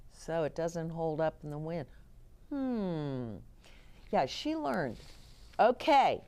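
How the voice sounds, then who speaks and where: A woman reading picture books aloud to children in an expressive way, chatting to her young listeners as host. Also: deep voice. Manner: expressive, explains words and chats to young listeners. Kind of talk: animated children's read-aloud with asides.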